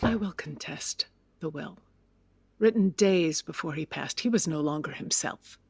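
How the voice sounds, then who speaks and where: An actress playing a proud, aggrieved older widow in an audio drama, speaking in a formal, imperious way. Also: female voice, low voice, lively intonation, measured pace, a little mellow, slight background noise. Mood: bitter, cold. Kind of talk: audio drama acting, period dialogue.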